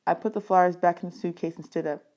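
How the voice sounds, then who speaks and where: A woman reading a children's novel aloud as an expressive audiobook narrator. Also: lowish voice, fast. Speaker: audiobook reader.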